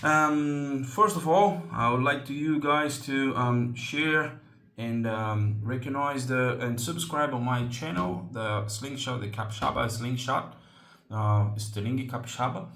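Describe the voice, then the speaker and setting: A man from Brazil, a native Portuguese speaker, hosting a slingshot channel and explaining a tool in English in a casual, halting way with many ums and restarts. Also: medium pitch, a bit bright, roomy sound.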